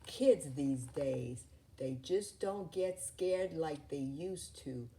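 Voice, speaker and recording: A woman's voice: sits low, takes it slowly, noisy background. A woman voicing a disapproving, mumbling male monster boss in a children's storybook.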